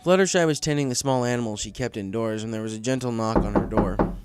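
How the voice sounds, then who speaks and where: A man's voice: mid-range voice, fast talker, loud music behind the voice. A man reading a story aloud as scripted narration. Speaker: story reader.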